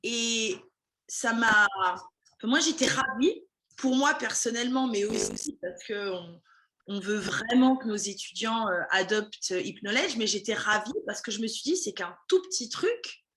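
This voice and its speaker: A woman's voice: a little high, expressive, a little slow, bright, forceful tone, rough recording. A woman running a language school, chatting casually in an interview with many fillers and restarts.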